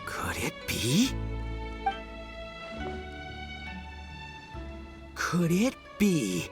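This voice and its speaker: A man's voice: a little high, huge pitch swings, takes it slowly, music in the background, full character voice. A man playing a boisterous, boastful young man in a video game, with a cocky, dramatic delivery. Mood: competitive. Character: brash, boastful young man, boisterous festival-loving braggart. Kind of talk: English video game voice acting.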